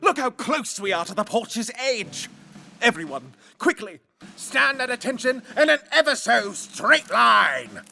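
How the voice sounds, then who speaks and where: Pompous, high-pitched gentleman bug. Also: very animated, a little slow, gravelly, slight background noise, full character voice. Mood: excited, commanding. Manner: pompous, florid, declaiming. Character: fussy, theatrical gentleman bug, grandly bossy leader. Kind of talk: audio drama cartoon acting.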